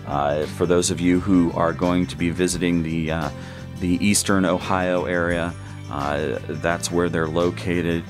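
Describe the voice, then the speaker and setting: A young man sitting at a table, casually reviewing outdoor gear with a relaxed, filler-heavy way of talking. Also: very deep voice. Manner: relaxed, many "uh" fillers, plain explanatory. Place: indoors at a table. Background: guitar music.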